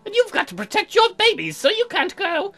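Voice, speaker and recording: A gamer puts on an odd salesman from a visual novel game, placating and self-sacrificing. The voice sits high and has a bright edge, with faint music underneath. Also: wildly swooping pitch, brisk, heavily acted. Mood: resigned.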